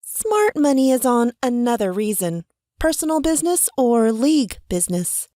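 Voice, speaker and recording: Lady doing a defiant lady magician, highish voice, wry and sure of herself, audio drama. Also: very animated, normal speed, mellow, no room sound, studio quality. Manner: wry, self-assured. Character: guarded, defiant female stage magician.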